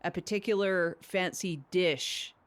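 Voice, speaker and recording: A breezy female podcast producer, played by a woman in improvised comedy banter. She rambles on in a chatty deadpan, her voice slightly low. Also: a little slow, natural voice. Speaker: producer giving a demo.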